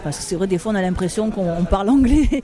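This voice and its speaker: A woman's voice: mid-range voice, expressive, quick pace, mellow. A woman from Marseille, chatting casually and laughing often as a podcaster being interviewed outdoors. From Marseille, France. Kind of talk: casual street chat.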